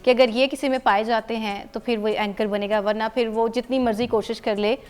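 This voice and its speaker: A woman's voice: a little high, steady tone, fairly quick, darkish tone, clean tone. A native Saraiki-speaking woman from Pakistan, a news anchor guest on a TV studio talk show, explaining earnestly and sometimes searching for words.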